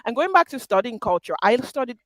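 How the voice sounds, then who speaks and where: A woman's voice: fairly high pitch, very animated, quick pace, fairly bright tone, dry recording. A woman hosting a live interview, with a slight Aberdonian accent mixed with Nigerian and American traces, speaking in a reflective, conversational way. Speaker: host.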